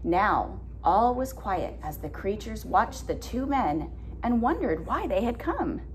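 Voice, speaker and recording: A woman in her thirties reading a picture book aloud as a teacher, deliberate and expressive, explaining simply. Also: mid-range voice, a little hiss or hum. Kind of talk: children's read-aloud with simple questions. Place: classroom, indoors.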